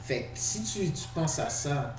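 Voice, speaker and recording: A man's voice: on the high side, expressive, unhurried, distant mic, music in the background. A man with a Quebec French accent, co-hosting a podcast, explaining his views in casual talk and slipping English slang into his French. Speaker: podcast co-host. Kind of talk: casual discussion. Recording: echoey room, off-mic, faint noise.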